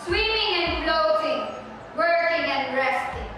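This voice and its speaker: A woman's voice: high-pitched, animated, slow. A female student performer playing haughty chorus and court figures in a chanting readers' theater recital. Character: haughty chorus of doubters, queen and messenger. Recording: echoey hall from far off, noisy.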